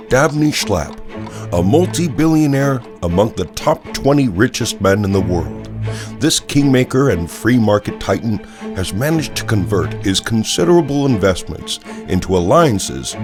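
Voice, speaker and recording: Male anchor, audio drama: serious, polished broadcast narrator, low register, highly inflected, measured and dramatic. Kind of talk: scripted broadcast news narration.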